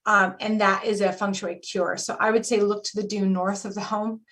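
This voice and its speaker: A woman in her forties on a video call, explaining things calmly with frequent ums as a guest reader. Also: mid-range voice, normal speed. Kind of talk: live video call reading.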